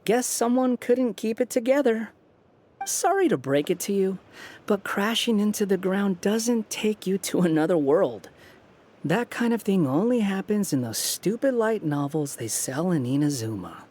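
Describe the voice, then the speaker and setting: A man's voice: high-pitched, animated, normal speed, a little mellow. A male actor playing an aloof, irritable young hero in a video game, speaking with dismissive sarcasm. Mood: dry mockery. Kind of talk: English video game voice acting. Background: game music.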